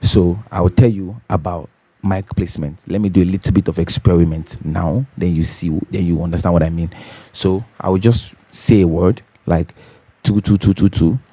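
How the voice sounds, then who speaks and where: A man with a deep, bassy voice hosting a home recording tutorial, talking casually and repeating his key points as he checks in with viewers. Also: normal speed, dark tone, breathy edge, no echo. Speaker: host of a home recording channel. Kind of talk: casual tutorial talk to camera. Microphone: studio condenser microphone.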